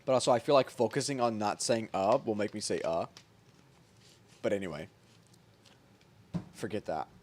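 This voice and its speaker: A man's voice: lowish voice, expressive, moderate pace, pauses often. A man chatting casually to livestream viewers in a rambling, slangy way. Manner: rambling, informal slangy talk. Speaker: game livestreamer. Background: background music.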